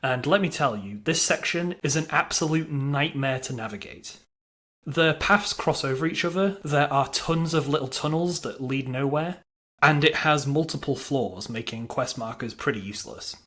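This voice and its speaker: A man's voice: mid-range voice, a bit bright. Wry man narrating a game review. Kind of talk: scripted video review narration.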